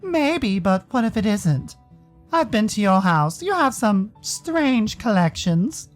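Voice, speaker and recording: A man's voice: high voice, big pitch swings, slow, faint music behind, full character voice. An American man voicing a curious, skeptical young furry protagonist who narrates and talks in a visual novel, with a dry questioning manner.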